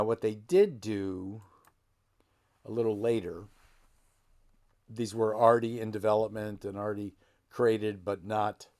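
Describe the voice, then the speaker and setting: Gravelly-voiced man hosting a history talk. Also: lowish voice, darkish tone. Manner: rambling, self-correcting, folksy. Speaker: genealogy channel host. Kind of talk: casual explanatory talk. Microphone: desk microphone close to him.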